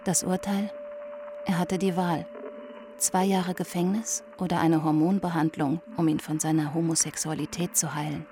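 A woman narrator reading scripted documentary passages for a radio drama in a factual, measured way. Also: fairly low pitch, level tone, background noise. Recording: music under the voice.

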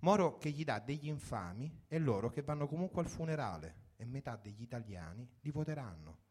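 A man's voice: mid-range voice, animated, brisk, a little mellow, breathy edge. A man reading a first-person story for radio in a reflective, wry manner, in short sentences. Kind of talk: dramatic literary reading for radio.

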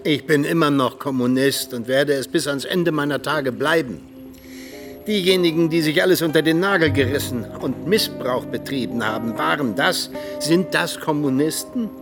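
An actor playing a disillusioned, hot-headed ageing former Soviet agent in a radio drama, with a sardonic manner. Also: male voice, a little high, normal speed, over music, natural voice. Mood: bitter, heated. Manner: heated, sardonic speechifying.

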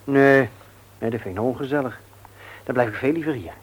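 An actor playing a courteous, attentive suitor in a radio play. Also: male voice, medium pitch, fairly quick, lightly acted. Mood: concerned. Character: courteous, attentive man visiting his sweetheart. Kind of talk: Dutch radio play acting. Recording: noisy old radio play recording.

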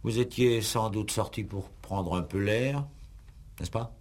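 An actor playing an insistent, exasperated examining magistrate in a 1960s French radio police play, pressing and sarcastic in a formal, theatrical way. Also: male voice, lowish voice, slow, darkish tone.

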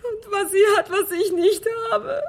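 A high, creaky-voiced betrayed woman in a radio drama. She is distraught and jealous, pleading slowly and tearfully with halting breath. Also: exaggerated voice.

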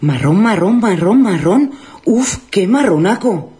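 A woman playing a scatterbrained, excitable young woman from Spain with a Spanish accent, slangy and full of repeated exclamations. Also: mid-range voice, a little slow, a little hiss or hum, close to an everyday voice. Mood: dismayed. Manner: breathless exclamations, slangy repetition.

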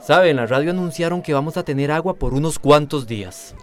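Gentle, reassuring actor as a male health worker. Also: fairly high pitch, a little fast, soft background music, natural voice. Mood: soothing. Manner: gentle, reassuring, soft-spoken. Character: calm, soothing male health worker at shelter. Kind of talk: radio drama acting.

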